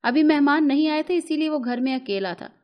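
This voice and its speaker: A woman storyteller with a clearly high voice. She reads a scripted narrative in the first person, delivering it as the narrator of the tale. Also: a little fast, highs cut off.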